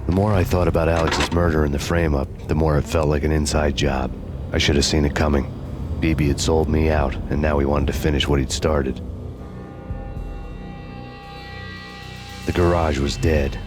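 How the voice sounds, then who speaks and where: A bitter American noir detective with an extremely low, flat voice. He narrates a crime game, deadpan and haunted, with brooding weariness, over prominent music. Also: fairly quick. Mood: bitter, sardonic. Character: haunted, sleepless, bitter noir fugitive cop. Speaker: narrator and protagonist.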